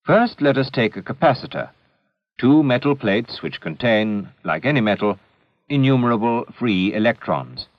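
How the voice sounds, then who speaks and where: A man's voice: mid-range voice, sing-song, no room sound. Dull old film soundtrack, male educational narrator. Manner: measured, didactic, deliberate phrasing.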